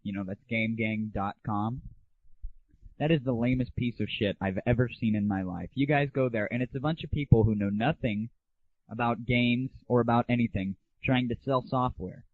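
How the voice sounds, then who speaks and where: A man's voice: medium pitch, normal speed. A man hosting a gaming audio show, talking casually with a blunt, irreverent, opinionated manner. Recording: muffled, phone-like lo-fi 1990s internet audio.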